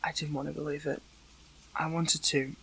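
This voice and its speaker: A man playing a weakened captive young superhero in an intimate audio roleplay, hurt but earnest, speaking haltingly with emotion. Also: a little high, level tone, gravelly, bright, forceful tone, slight background noise, poor audio. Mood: hurt, pleading.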